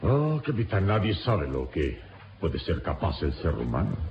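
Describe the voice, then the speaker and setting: An actor playing a calm, resigned adventurer hero in a radio serial, speaking in a measured, solemn, formal way. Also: male voice, sits low, heavily acted. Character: calm, resigned turbaned adventurer hero, confessing under interrogation. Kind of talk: old radio serial acting. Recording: dull, noisy old radio recording.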